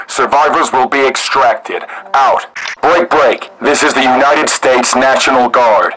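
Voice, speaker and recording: A man's voice: medium pitch, pushed and bright, low-quality recording. A man playing a terse, commanding National Guard radio operator, calling out orders in clipped, formal military radio procedure through a radio filter.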